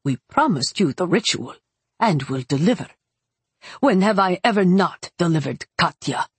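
A woman's voice: lowish voice, expressive, moderate pace, slightly rough, no echo. A woman voicing a stern, commanding witch matriarch in an audiobook, with a firm, scolding manner.

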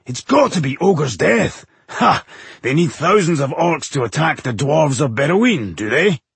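Male narrator as battle-hungry fantasy dwarf: boisterous, forceful, husky, wildly swinging pitch. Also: expressive, moderate pace, dry recording. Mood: excited glee. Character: gruff, hot-blooded male dwarf warrior, battle-hungry.

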